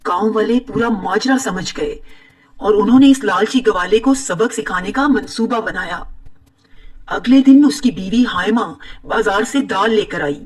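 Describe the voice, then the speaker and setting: A woman narrating an animated moral story in a steady, moralizing telling. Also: medium pitch, unhurried, far from the mic, rough recording. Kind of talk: scripted cartoon narration.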